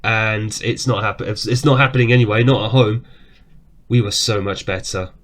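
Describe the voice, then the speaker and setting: A man's voice: on the low side, steady tone, moderate pace. A man with a cough giving casual, chatty commentary as he plays a football management game at his desk, reacting aloud to the match. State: has a cough. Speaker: gaming YouTuber.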